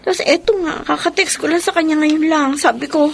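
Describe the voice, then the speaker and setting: A frightened young woman in a radio drama, resolute despite her fear. Her voice is high, with audible background ambience. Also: fairly even intonation, brisk, creaky, slight background noise. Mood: anxious. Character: frightened but resolute young female witness.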